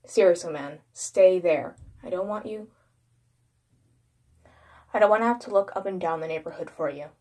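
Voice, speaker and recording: Woman as an exasperated, low-voiced older brother. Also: moderate pace, pauses often, warm tone, lightly acted. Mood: scolding. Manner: scolding, exasperated, slangy. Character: irritated, protective teenage older brother. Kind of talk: horror story narration with acted dialogue.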